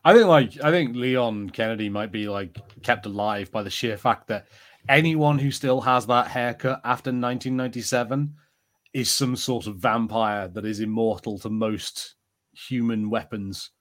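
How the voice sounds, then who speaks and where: A man's voice: mid-range voice, normal speed. Wry man in casual podcast chat. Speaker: podcast co-host. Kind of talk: casual pop-culture chat.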